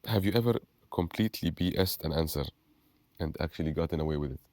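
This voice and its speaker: A man's voice: low-pitched, quick pace, darkish tone. A man hosting a casual campus interview, encouraging his guest and echoing his words back. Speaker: host.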